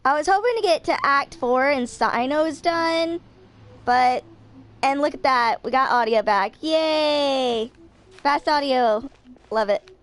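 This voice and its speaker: A woman's voice: high-pitched, slow. A woman in her twenties chatting casually on a livestream while she plays a game. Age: 20s. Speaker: game streamer.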